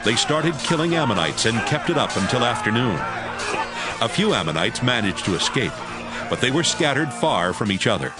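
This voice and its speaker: A man's voice: medium pitch, big pitch swings, normal speed, loud music behind the voice, a loud crowd. A man narrating an audio Bible drama as a scripted, dramatic storyteller.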